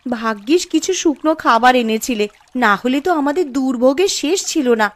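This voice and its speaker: A woman as a high-voiced, fretful village woman. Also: expressive, a little fast, no room sound. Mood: anxious. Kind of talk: cartoon story acting.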